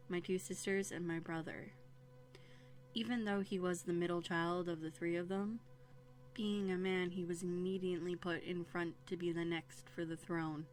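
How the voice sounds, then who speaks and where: Woman acting as young runaway princess in an audio roleplay, kinda low voice, earnest and a bit hesitant, music behind. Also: natural voice. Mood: hesitant, candid. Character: young runaway princess, bookish, grateful, quietly bitter. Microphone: close USB condenser microphone.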